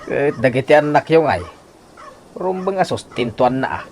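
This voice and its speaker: A man voicing an old father who gives his daughter gentle advice, in a radio drama. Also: mid-range voice, expressive, normal speed, noisy background, natural voice.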